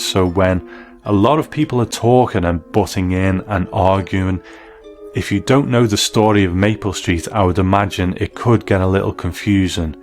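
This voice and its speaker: Man, deep voice, presenter, scripted review commentary, soft music in the background. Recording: faint music behind the voice.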